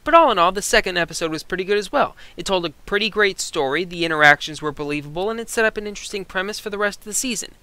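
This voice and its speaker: Bright, higher-pitched male reviewer. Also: animated, racing, few pauses, smooth, dry recording. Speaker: co-host reviewer. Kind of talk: conversational scripted review commentary.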